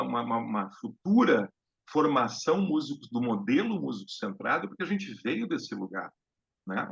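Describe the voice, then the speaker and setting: Man, from Brazil, live-stream host, hesitant, lots of fillers, gravelly, muffled lo-fi sound. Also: medium pitch, a bit bright. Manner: hesitant, many fillers and restarts. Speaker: host of a live talk on music therapy. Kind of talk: casual live-stream lecture. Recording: dull lo-fi live-stream audio.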